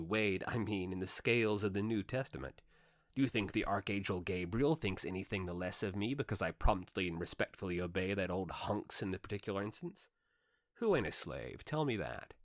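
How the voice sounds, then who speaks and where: A man with a fairly low voice narrates an old literary novel in the first person. He reads with theatrical emphasis and deliberate dramatic pauses. The recording is close and dry, with very little room sound. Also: fairly bright tone, dry recording. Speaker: audiobook narrator.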